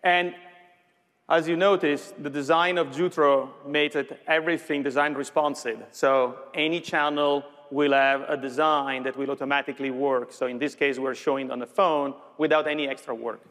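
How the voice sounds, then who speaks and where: Guy doing a tech keynote demo, slightly high voice, big echoey hall. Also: steady tone, normal speed, fairly bright tone. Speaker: software executive presenting a product demo. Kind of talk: live keynote presentation. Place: large conference stage with audience. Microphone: lapel mic. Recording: reverberant large hall sound.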